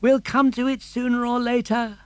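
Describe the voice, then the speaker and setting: A man's voice: little pitch movement, moderate pace, smooth, no room sound. A man voicing a brave, eager wooden puppet boy in a storybook reading. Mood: urgent. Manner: high and urgent.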